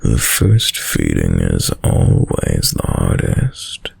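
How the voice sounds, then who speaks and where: A man playing a sadistic, dominant vampire in an intimate audio roleplay, speaking in a commanding, silkily menacing and condescendingly tender way, deep and breathy. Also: huge pitch swings, a little mellow, dry recording, exaggerated voice. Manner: slow, commanding, condescendingly tender, often dropping to whispers. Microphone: close, dry studio mic.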